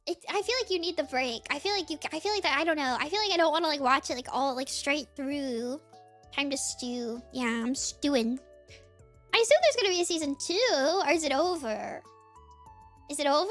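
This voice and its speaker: A woman's voice: high voice, a bit bright. A woman streamer chatting casually in a rambling, giggly way, full of fillers. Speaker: VTuber streamer.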